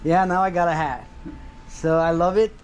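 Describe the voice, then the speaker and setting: Male vlogger, earnest, halting restarts, slightly higher voice, noisy outdoor sound. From Nepal. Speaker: travel vlogger. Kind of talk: casual vlog chat.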